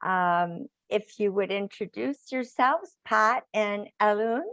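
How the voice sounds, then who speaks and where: An older woman hosting a conversational interview, speaking in a halting way with phrases that trail off. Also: medium pitch, fairly bright tone, no echo, dull audio. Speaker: host interviewing guests.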